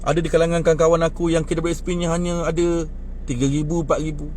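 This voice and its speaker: A man's voice: fast talker, a little hiss or hum. A man in his twenties with a Malaysian Malay accent, talking indignantly about politics in a casual outdoor chat by a bus stop. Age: 20s. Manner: animated and indignant, rising in pitch when worked up. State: short on sleep. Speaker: young man airing political views. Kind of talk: casual street chat, speaking to others nearby.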